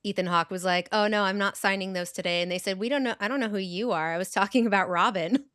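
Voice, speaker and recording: A woman's voice: medium pitch, fast talker, a bit bright, clear, resonant tone, dry recording, studio quality. A woman hosting a podcast in casual chat, with a playful, teasing way of talking. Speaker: podcast host.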